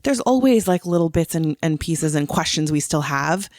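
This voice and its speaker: A woman's voice: deep voice, lively intonation, normal speed, darkish tone, dry recording, clean studio sound. A woman co-hosting a casual fan podcast, chatting conversationally with plenty of "like" thrown in. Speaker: podcast co-host.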